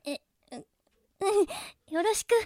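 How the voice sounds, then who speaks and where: A woman playing an excitable, self-mocking teenage schoolgirl who narrates her own flustered thoughts in a radio drama. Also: no echo, studio quality, natural voice. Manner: flustered inner monologue, quick swings. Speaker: narrator and lead character.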